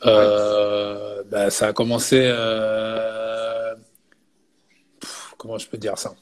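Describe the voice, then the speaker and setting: A man's voice: lowish voice, drawn-out words. A man in his thirties from Belgium, a personal fitness coach, talking casually in an interview and often tagging on "you see" and restarting phrases. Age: 30s. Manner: relaxed, frequent filler "tu vois" and restarts.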